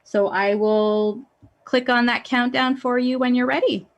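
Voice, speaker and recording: A woman's voice: fairly high pitch, fairly even intonation, unhurried. A woman hosting an online event over a video call, introducing a speaker in a friendly, somewhat flustered way. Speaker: event host.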